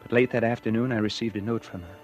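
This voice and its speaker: A man's voice: on the low side, steady tone, fast talker, a little mellow, dull audio, lightly acted. An actor playing a guilt-ridden murderer who narrates his own downfall in a 1940s radio drama. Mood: despairing. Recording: 1949 radio recording with music.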